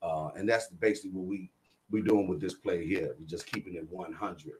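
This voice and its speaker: Low-voiced American man, streetwise and emphatic. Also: fairly even intonation, a little fast, heavy background noise. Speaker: guest, stage playwright. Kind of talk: casual interview talk.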